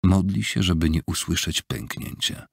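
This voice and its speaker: A man reading an audiobook in a measured, even storytelling manner, recorded on a close studio mic. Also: no room sound. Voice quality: low gravelly husky voice. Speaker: audiobook narrator. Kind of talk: scripted audiobook narration. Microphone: close studio mic, dry.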